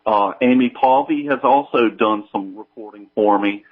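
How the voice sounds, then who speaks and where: A man with a lowish voice answers interview questions casually. He is modest and halting, and his remote line sounds dull, with the volume drifting up and down. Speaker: guest, audio drama creator.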